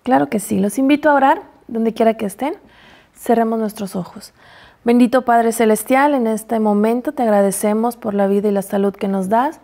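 A woman's voice: mid-range voice, dark tone. A woman in her forties with a Mexican accent, a university teacher talking animatedly in a Bible study panel on a TV studio lapel mic. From Chiapas, Mexico. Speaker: university health sciences teacher.

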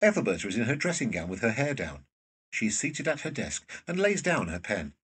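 A man's voice: mid-range voice, big pitch swings, brisk, a bit bright, husky. A man reading scripted stage directions as the narrator of a drama.

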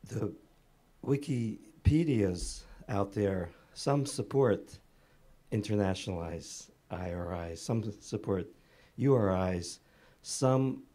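Slow, deep-voiced man asking a question. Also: warm tone. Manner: slow, halting, technical. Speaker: audience member asking a question. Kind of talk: question from the floor. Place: conference room.

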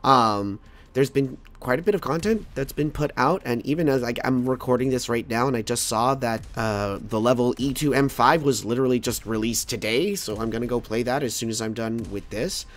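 Male game reviewer, casual and rambling, a bit rough from a cold, average pitch. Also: a little fast. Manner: rambling, stammering, laughs easily. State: fighting a cold.

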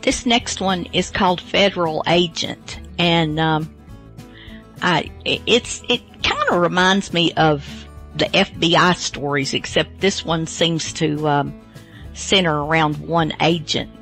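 A woman radio host gives casual, rambling introductions. She speaks very slowly and hesitantly in a slightly low voice, with faint music behind her. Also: fairly bright tone. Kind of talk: casual introductions between programs.

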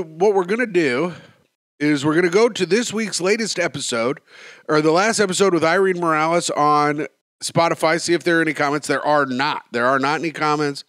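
A young man hosting a podcast alone, talking in a rambling, self-mocking way with deadpan asides, his nose stuffed up from a cold. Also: a little high, no room sound, studio quality. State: stuffed up, sniffling. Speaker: podcast host. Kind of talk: solo podcast chat.

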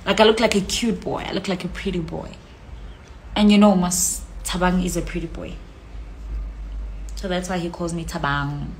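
A woman's voice: lowish voice, fairly even intonation, moderate pace, pauses often, a little hiss or hum, low-quality recording. A young South African woman chatting casually on a phone live stream from a small room, reading out comments with a playful manner that drops into whispers. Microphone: phone at arm's length.

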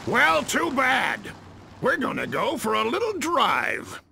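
Drill sergeant army jeep: very gravelly, piercingly forceful, clipped commands, light background ambience. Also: male voice, very high-pitched, wildly swooping pitch, slow pace, slight background noise, heavily acted. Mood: taunting. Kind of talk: animated game cutscene acting.

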